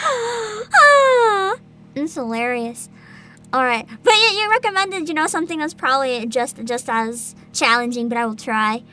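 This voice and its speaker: A woman chatting casually to viewers as a livestream host, with a giggly, expressive manner full of interjections. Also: high-pitched, measured pace, slight background noise.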